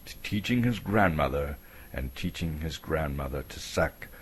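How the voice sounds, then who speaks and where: A man from Canada narrating a story as a theatrical, expressive dramatic reading. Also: a bass voice, moderate pace, husky.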